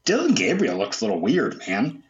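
A man's voice: mid-range voice, huge pitch swings, fairly quick, echoey room, lo-fi sound. A man from Memphis co-hosting a sports podcast, talking casually in a nasal voice. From Memphis, USA. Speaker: co-host.

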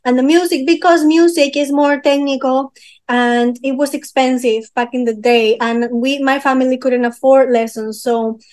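A young woman from Peru, interviewed as a musician, with a notably high voice. She talks casually and feels her way through answers, pausing with fillers and restarting sentences as she hunts for the right word. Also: fairly even intonation, darkish tone. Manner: searching for words, many fillers and restarts. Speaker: guest musician.

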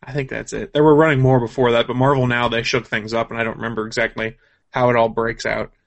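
A man co-hosting a casual news chat on games and comics, giving his opinions in a conversational, measured way. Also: mid-range voice, level tone. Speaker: show host.

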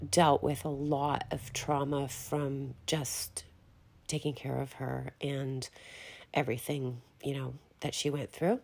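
A woman's voice: deep voice, creaky, a little mellow. A middle-aged woman hosting her own podcast in a casual, rambling, self-deprecating monologue, a little congested from a recent illness. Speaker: podcast host.

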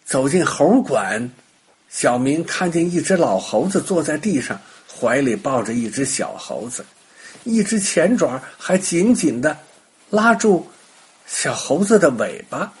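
A man narrating a children's bedtime story in an expressive, unhurried way, with a husky, breathy voice. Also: a little high. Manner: animated, unhurried, child-directed.

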